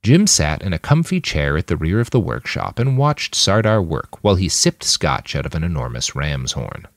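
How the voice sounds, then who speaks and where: A man's voice: sits low, big pitch swings, normal speed, no room sound. A man reading scripted fiction as an audiobook-style narrator. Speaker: author reading his own fiction podcast.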